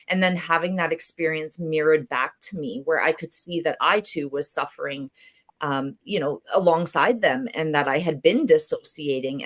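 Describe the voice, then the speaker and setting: A woman speaking earnestly and reflectively as a panel guest at an event. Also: low-pitched. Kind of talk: panel discussion talk.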